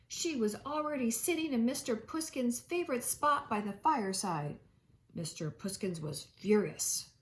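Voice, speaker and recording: Animated woman reading a children's storybook. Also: mid-range voice. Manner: expressive, dramatic emphasis. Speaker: teacher reading aloud. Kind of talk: children's storybook read-aloud.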